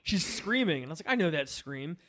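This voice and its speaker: High-pitched man chatting, voices behind him. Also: sing-song, brisk, over a noisy crowd. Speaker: podcast co-host. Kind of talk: casual film podcast chat.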